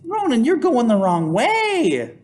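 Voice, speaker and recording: A blunt Viking raider from a children's picture book, voiced by a man reading aloud. He is incredulous and scornful of books, with a high, swooping delivery. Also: huge pitch swings, takes it slowly, darkish tone, recorded from a distance, full character voice. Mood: exasperated.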